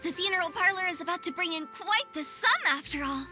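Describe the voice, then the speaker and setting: A woman's voice: very high voice, lively intonation, fairly quick, music in the background. A woman playing a playful, sly young female funeral director in a video game, teasing and chirpy. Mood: cheerful, joking. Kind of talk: English video game voice acting.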